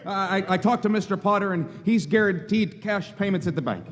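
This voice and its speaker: A man's voice: high-pitched, a little fast, lightly acted. An actor playing an earnest, flustered young small-town loan manager, stammering and repeating his words in a folksy way, in the style of a live radio play. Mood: anxious reassuring. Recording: reverberant hall, live stage performance.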